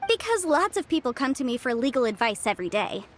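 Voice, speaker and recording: An actress playing a confident young legal advisor in a game, brisk, self-assured and a little playful. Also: female voice, sits high, very animated, heavy background noise. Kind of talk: game voice acting. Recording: studio voice over game music.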